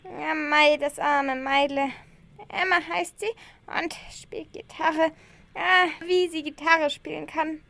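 A woman's voice: high-pitched, steady tone, slow pace, vocal fry, pushed and bright, no room sound, heavily acted. A woman playing a lonely, caring old grandma, fussing and sighing in an amateur radio play. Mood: wistful, chatty. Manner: fussing, chatty, sighing, uses southern German dialect words.

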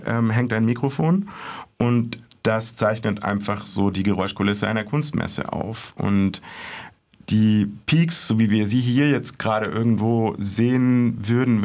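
A man's voice: medium pitch, darkish tone. A man reporting as an art correspondent in casual radio chat, speaking hesitantly with many fillers and restarts, in a radio studio.

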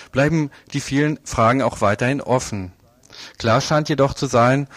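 A man's voice: medium pitch, dry recording. A man hosting a radio news magazine, presenting in a semi-scripted live style.

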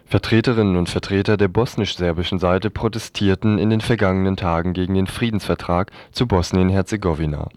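A man's voice: deep voice, no echo. A man reading scripted radio news in a sober, matter-of-fact manner.

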